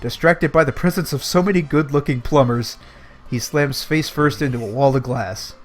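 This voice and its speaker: A man's voice: mid-range voice, normal speed. Male read-along host, casual storybook narration with amused asides, music underneath. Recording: music playing behind the voice.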